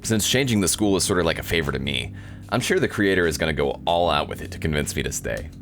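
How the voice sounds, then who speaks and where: Man reading as a sardonic, deep-voiced young hero. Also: expressive, fast, a bit bright, faint music behind, heavily acted. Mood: dry. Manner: dry, incredulous, narrating. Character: sardonic, disappointed young male isekai protagonist. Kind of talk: visual novel narration and dialogue.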